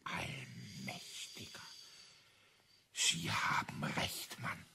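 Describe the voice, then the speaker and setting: A man's voice: very slow, heavy background noise. An actor playing a flustered, astonished man in a German radio crime drama, questioning hesitantly and stammering. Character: flustered, astonished male listener to a detective's solution.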